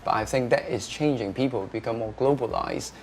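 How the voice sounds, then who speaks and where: A young man, answering interview questions as a restaurateur, pausing often with filler sounds. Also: medium pitch, creaky, darkish tone, slight background noise. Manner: hesitant, many filler sounds.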